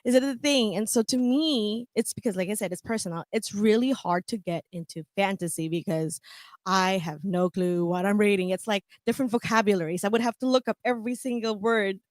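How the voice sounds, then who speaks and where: A woman's voice: medium pitch, fairly quick, no room sound. A woman whose first language is German, chatting candidly on a radio panel and laughing often. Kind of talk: casual radio chat.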